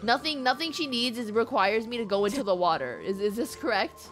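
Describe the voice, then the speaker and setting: A woman's voice: a little high, expressive, fairly quick, a bit bright, faint music behind. A woman game streamer giving casual, reactive live commentary, informal and repeating phrases for emphasis.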